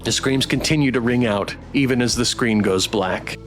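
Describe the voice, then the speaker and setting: A man streaming a visual novel, reading it aloud dramatically and adding playful, expressive commentary. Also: mid-range voice, normal speed, faint music behind.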